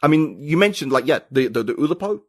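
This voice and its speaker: A British man, a writer and podcast guest, talking in a reflective, halting way with many restarts. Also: a little high, expressive, no echo, clean studio sound. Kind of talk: casual conversation.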